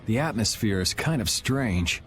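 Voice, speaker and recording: A man's voice: lowish voice, lively intonation, unhurried, fairly bright tone, soft background music. An actor playing a cool, wry young swordsman in a video game.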